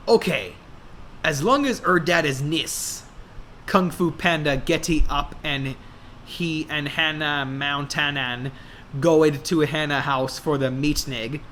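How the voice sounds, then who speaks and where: High-voiced man reading fan fiction. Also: a bit bright, slight background noise. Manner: deliberate, reads errors as written. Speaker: narrator.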